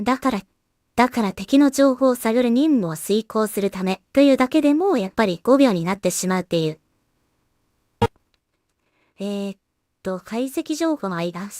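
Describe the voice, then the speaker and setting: A woman talking casually to herself and her viewers as a solo creator at work, musing in an unhurried way and letting remarks trail off. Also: fairly high pitch, animated, darkish tone, no room sound, clean studio sound. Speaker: solo animation creator and streamer. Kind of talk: casual work-along commentary, thinking aloud.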